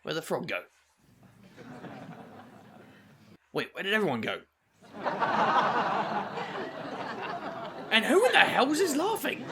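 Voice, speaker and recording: An actor playing a wry, reckless male paranormal investigator who tells his own tale in an audio drama, with a gravelly, sardonic delivery. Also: on the high side, heavy background noise. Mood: nervous bluster. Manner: wisecracking, casual, sardonic. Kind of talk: audio drama acting with noir-like first-person narration.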